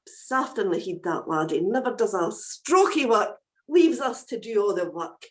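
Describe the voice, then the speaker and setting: The scornful older brother from a Scottish folk tale, scolding slowly and firmly, as a woman storyteller puts him on. He sounds impatient and dismissive of soft hearts. The Scottish accent is broad. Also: medium pitch, animated, lightly acted.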